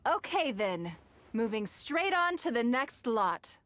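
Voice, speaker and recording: An actress voicing a composed, shrewd and wealthy woman who hosts an auction in a game, speaking in a measured, faintly amused way. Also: a little high, sing-song, a bit bright, no room sound, heavily acted. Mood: poised. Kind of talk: game voice acting.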